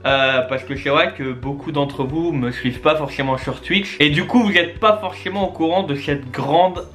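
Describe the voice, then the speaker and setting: A man's voice: a little high, moderate pace, fairly bright tone. A man in his twenties chatting casually and eagerly to his viewers, with many fillers and restarts. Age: 20s. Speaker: YouTube and Twitch creator. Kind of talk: casual vlog address to viewers.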